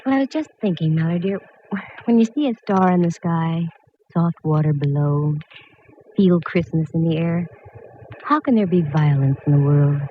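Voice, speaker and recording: A woman's voice: low voice, moderate pace, lightly acted. An actress playing a wry, plucky female private eye in a 1940s radio detective drama, with a wisecracking manner. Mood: wistful. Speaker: actress narrating and playing lead. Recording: muffled, lightly hissy old radio recording.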